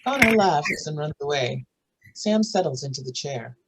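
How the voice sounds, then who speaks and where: A man's voice: a little high, animated, moderate pace, a bit bright, a little hiss or hum, low-quality recording. A man narrating screenplay stage directions at a table read.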